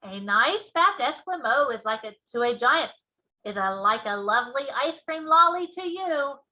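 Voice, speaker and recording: A woman voicing a big friendly male giant from a children's storybook, folksy and excitable, with muddled grammar and made-up words. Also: high-pitched, moderate pace. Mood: explaining. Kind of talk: children's storybook read-aloud.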